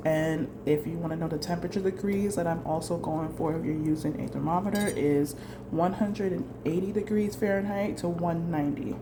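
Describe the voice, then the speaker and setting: A woman with a lowish, congested voice walks viewers through a recipe in a relaxed, talkative way. The sound is roomy and echoey, with clear background noise from the kitchen. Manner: chatty, keeps adding "right?". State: congested from a cold. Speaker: cooking channel host. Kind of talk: casual cooking tutorial. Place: home kitchen.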